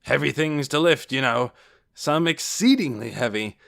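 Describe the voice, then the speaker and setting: The big boastful brother of a children's audiobook, booming his tall tales across the farmyard, pitched up and hearty, as a male narrator plays him. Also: animated, moderate pace, gravelly. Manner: loud, boastful, self-repeating.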